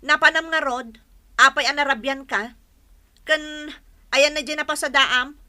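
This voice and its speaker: A woman's voice: high-pitched, unhurried, pushed and bright, exaggerated voice. An actress in an Ilocano radio drama playing a nagging, suspicious, exasperated wife and mother with an Ilocano accent and a scolding manner. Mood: angry, nagging. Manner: scolding, emotional.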